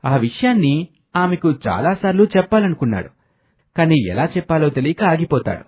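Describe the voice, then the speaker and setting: A man's voice: a little high, very animated, a little fast, a little mellow, slightly rough. A man narrating a scripted family story as a storyteller.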